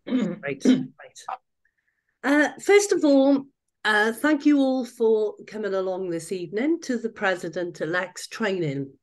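Female trainer on a video call, croaky with illness, very unhurried delivery. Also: mid-range voice, animated. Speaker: training presenter. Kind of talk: informal online presentation with slides. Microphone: video call microphone.

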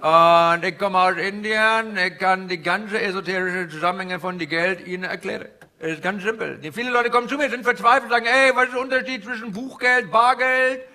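High, bright-voiced Indian finance guru. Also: male voice, fairly quick, hardly any pauses, heavily acted. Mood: mock-earnest explaining. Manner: ingratiating, theatrical, repeats phrases. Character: cheery Indian finance guru, flattering salesman comic character. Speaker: stand-up comedian. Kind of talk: character stand-up with audience banter. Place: indoor live venue before an audience. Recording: live stage with noisy audience. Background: audience laughter and crowd ambience.

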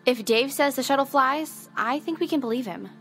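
High-voiced, headstrong crew leader. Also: female voice, expressive, normal speed, a bit bright, faint music behind. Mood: firm, impatient. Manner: insistent, commanding. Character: headstrong, proud young woman leading a spaceship crew. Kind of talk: audio drama acting.

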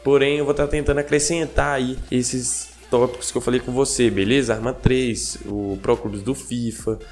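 Hoarse, nasal young man vlogging. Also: medium pitch, fairly even intonation, normal speed, a little mellow. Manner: slangy and chatty, checks in with viewers often. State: hoarse and congested from a cold. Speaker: gaming channel host. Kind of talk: casual vlog talk to viewers. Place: home gaming setup.

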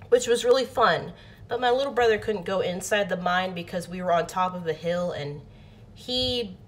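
A woman's voice: medium pitch, fairly bright tone. A young adult woman telling a casual storytime in her bedroom, rambling with many restarts and fillers. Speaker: vlogger. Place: bedroom at home. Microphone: phone or webcam, close framing.

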